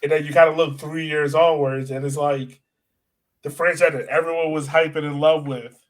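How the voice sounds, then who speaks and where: Higher-voiced man chatting casually about sports. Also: fairly even intonation, normal speed, low-quality recording. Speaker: podcast co-host. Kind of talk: casual sports podcast chat.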